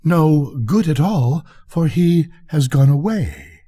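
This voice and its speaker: A man's voice: on the high side, lively intonation, slow pace, warm tone, slightly rough, pitched above the performer's own voice, exaggerated voice. A man voicing a dry, knowing dragonfly in a bedtime story. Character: male dragonfly, dry and matter-of-fact onlooker. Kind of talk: storybook character reading.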